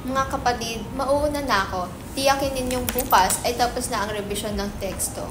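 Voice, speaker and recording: A woman's voice: a little high, normal speed, natural voice. A woman playing a solemn, devout religious leader in a dramatic script reading, formal, exhorting and prayerful. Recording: noisy, echoey room.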